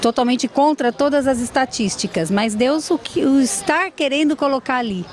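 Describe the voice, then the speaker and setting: A woman's voice: sits high, moderate pace. A Brazilian woman answering a street interview outdoors, speaking sincerely and devoutly. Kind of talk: vox pop street interview.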